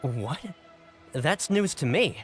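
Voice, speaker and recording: Excitable high-pitched male underling. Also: slow, faint music behind. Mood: flustered insistence. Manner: emphatic, insistent. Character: excitable male underling reporting to his lady boss. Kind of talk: video game voice acting.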